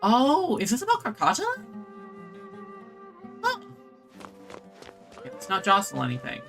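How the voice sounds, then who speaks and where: A woman's voice: medium pitch, wildly swooping pitch, unhurried. A woman streaming a video game, giving casual commentary and reading in-game text aloud. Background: game music.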